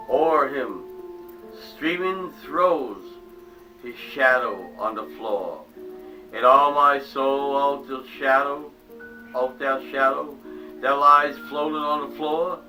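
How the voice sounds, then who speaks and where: Slow, dramatic reading man, distant mic. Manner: dramatic, swinging from loud declaiming to hushed low tones. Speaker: channel host reading a poem. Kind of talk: theatrical poetry reading. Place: small home room set up as a paranormal museum. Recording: distant mic with faint music behind.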